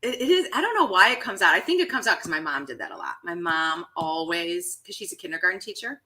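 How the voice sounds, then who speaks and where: Chicago lady, guest on a radio chat, bright tense voice, all over the place pitch-wise, super enthusiastic. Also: quick pace. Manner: enthusiastic, animated. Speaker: guest, chef and coach. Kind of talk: casual interview chat.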